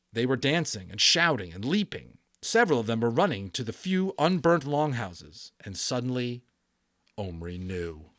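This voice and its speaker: A man with a mid-pitched voice gives a dramatic storybook narration. He leans on key words theatrically, like a keen amateur storyteller. The sound is dry, with little room in it. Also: a bit bright, no echo. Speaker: audiobook narrator.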